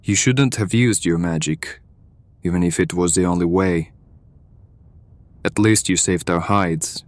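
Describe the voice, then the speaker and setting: Man playing a low-voiced, guarded hireling. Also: measured pace, dry recording. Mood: concerned. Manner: plain-spoken, earnest. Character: gruff-worded, guarded but caring male hireling. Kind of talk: audio drama acting.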